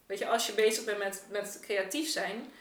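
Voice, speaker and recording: A woman's voice: medium pitch, normal speed, fairly bright tone. Woman, podcast guest. Thinks aloud, conversational. Roomy, distant pickup. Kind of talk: casual podcast discussion. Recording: echoey room, off the mic.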